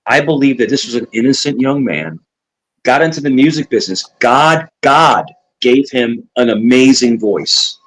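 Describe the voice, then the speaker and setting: A man's voice: medium pitch, lively intonation, normal speed, a bit bright, low-quality recording. A man from New York co-hosting a casual talk show, earnest and opinionated, often repeating himself. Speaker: co-host.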